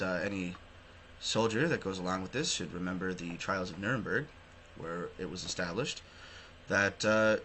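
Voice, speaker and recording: A man's voice: sits low, bright, forceful tone, a little hiss or hum, low-quality recording. A man with a Canadian accent giving opinionated news commentary, emphatic, sardonic and prone to swearing. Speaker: online commentator hosting his own show. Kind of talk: opinionated news commentary, partly reading articles aloud.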